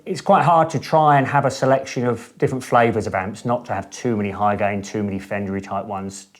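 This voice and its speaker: Relaxed low-voiced English-speaking man. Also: brisk, soft background music. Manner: relaxed, enthusiastic, many fillers and restarts. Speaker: boutique amp studio owner. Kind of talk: casual interview chat.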